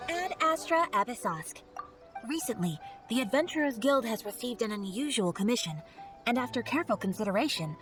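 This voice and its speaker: A woman's voice: on the high side, expressive, a little fast, over music, lightly acted. A woman voicing a formal, businesslike guild receptionist in a video game. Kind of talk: English video game voice acting.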